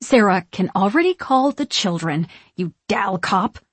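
An imperious eldest witch from a storybook, acted out by a female narrator, quick to anger and fond of old-fashioned scolding. She snaps out her words like orders, in a close, dry sound. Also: mid-range voice, animated, slightly rough, no room sound. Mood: scornful, commanding. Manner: snapping, archaic scolding. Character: imperious, short-tempered witch, eldest of three sisters.

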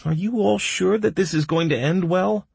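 A man plays a nervous, grumpy doctor in a fantasy audiobook. His voice is pushed high and a little husky, fretting and grumbling as he speaks. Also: lively intonation, slow pace, no echo, full character voice. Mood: anxious. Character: anxious, grumpy male doctor in a fantasy adventure band.

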